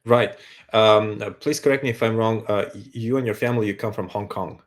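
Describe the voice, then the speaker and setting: A man's voice: on the low side, steady tone, a little fast. A man hosting a podcast, asking polite, hesitant interview questions. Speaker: podcast host.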